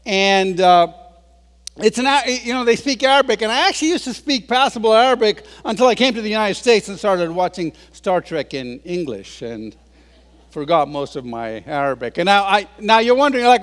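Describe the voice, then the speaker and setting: A man's voice: high-pitched, normal speed, a bit bright. A man in his sixties giving a humorous talk as a guest speaker, telling stories with self-deprecating asides. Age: 60s. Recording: long reverb of a large hall.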